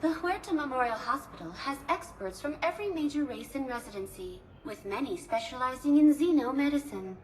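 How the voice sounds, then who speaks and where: A woman's voice: sits high, animated, a little fast, few pauses, poor audio. A woman playing a polite, efficient hospital receptionist in a game, speaking formally and precisely. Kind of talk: scripted informational game dialogue.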